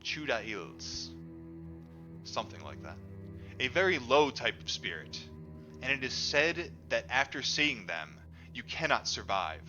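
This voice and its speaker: A man reading a book aloud as a storyteller, in an animated way that acts out the dialogue. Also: mid-range voice, a little slow. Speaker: audiobook reader. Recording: dull lo-fi audio, music underneath. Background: music.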